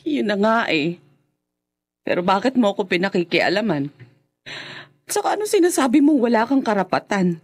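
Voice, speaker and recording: A husky, stern older sister. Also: female voice, mid-range voice, sing-song, normal speed, pauses often. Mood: arguing. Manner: stern and probing, breaking into distress. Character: worried married woman, strict older sister. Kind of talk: radio drama acting.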